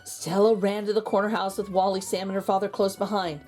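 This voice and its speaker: A woman's voice: mid-range voice, level tone, fairly quick, darkish tone, soft background music. A woman reading a children's storybook aloud as host, narrating expressively for young listeners.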